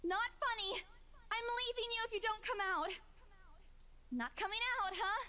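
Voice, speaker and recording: Very high-voiced, nervous young woman. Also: moderate pace, bright, forceful tone. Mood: scared, annoyed. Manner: nervous, calling out. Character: anxious young woman estate agent exploring a haunted mansion. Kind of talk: visual novel game voice acting. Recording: distant in-game voice with echo.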